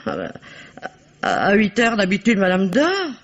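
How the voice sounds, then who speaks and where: A woman's voice: medium pitch, wildly swooping pitch, measured pace, vocal fry, heavily acted. An actress playing a flustered, tearful cleaning woman in a 1950s radio drama. She stammers, hesitates and blurts things out. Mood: chatty. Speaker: witness. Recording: dull old radio drama recording.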